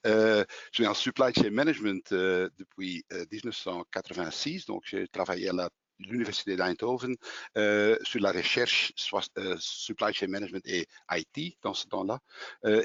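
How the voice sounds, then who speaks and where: A man's voice: low voice, a bit bright, no room sound. A man in his sixties with a Flemish accent, a native Dutch speaker presenting in French at a webinar, often hesitating as he searches for words. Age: 60s. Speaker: software company co-founder presenting. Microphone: video call. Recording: dull, dry webinar audio.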